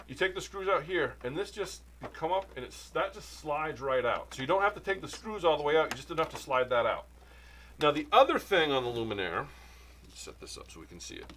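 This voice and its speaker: Male instructor, casual and jokey, sidetracks easily, animated delivery, light background noise. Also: a little high, a little fast. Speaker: sewing machine instructor. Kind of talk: casual demo with banter.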